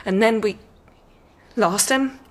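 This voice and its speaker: A woman's voice: mid-range voice, slow pace, lightly acted. An actress playing a proud, guarded young orphan woman in a radio drama, confiding and self-possessed. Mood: proud, wistful. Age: young adult.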